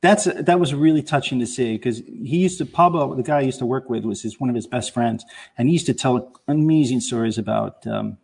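A man's voice: mid-range voice, brisk, vocal fry, a little mellow, breathy edge. A man on a talk show panel, sharing recollections in casual conversation. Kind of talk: casual chat, film recollections.

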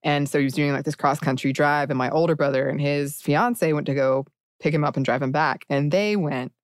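A chatty woman co-hosting a podcast, with a notably low voice and an enthusiastic way of talking. She laughs easily as she chats. Her voice is recorded dry and close in a studio. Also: fairly quick, no echo. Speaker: podcast co-host. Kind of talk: casual podcast chat.

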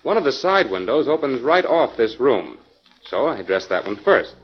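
An actor playing an obliging, slightly fussy department store window decorator in a 1940s radio drama, explaining politely. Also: male voice, medium pitch, very animated, moderate pace, breathy edge. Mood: polite, busy. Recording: dull, lo-fi 1940s radio recording.

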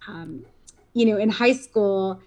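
A woman's voice: medium pitch, slow pace, a little mellow. Fifties woman, interview guest, rambling conversational delivery. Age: 50s. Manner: rambling, many fillers and restarts.

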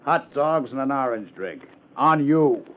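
An actor playing an insistent, oratorical police lieutenant in a 1950s radio crime drama, emphatic and theatrical. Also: male voice, mid-range voice, expressive, measured pace, natural voice. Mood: grandly bargaining. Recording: dull vintage 1950s radio recording.

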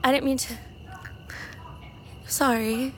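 A high-voiced, awkward woman played by an actress in an audio drama. She is hesitant and apologetic, emotionally fragile, with faint background noise. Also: flat delivery, slow, a bit bright. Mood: awkward, upset. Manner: hesitant, self-deprecating. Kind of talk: audio fiction drama acting.

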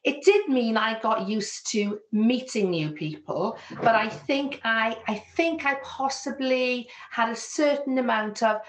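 A woman's voice: on the high side, animated, measured pace, hardly any pauses. A woman with a Welsh accent, a business coach answering interview questions in an earnest, reflective way. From Wales. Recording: echoey room.

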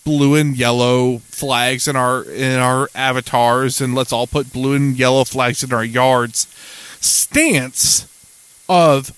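A man's voice: medium pitch, fairly even intonation, takes it slowly, fairly bright tone, smooth, no room sound. A man co-hosting a casual political podcast, blunt and swearing, stumbling over hard words as he reads aloud. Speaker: podcast co-host.

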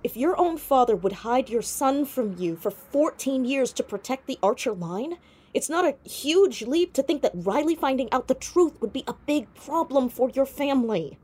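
An actress in a naturalistic audio drama playing a clever, persistent young amateur sleuth who is earnest, hesitant and probing. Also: female voice, high voice, animated, moderate pace, a little mellow, slightly rough. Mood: tentative, insistent.

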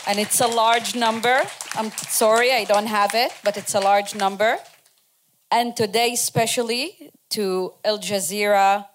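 A woman speaking at a community forum, earnest and halting, with many fillers. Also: mid-range voice. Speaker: panel speaker.

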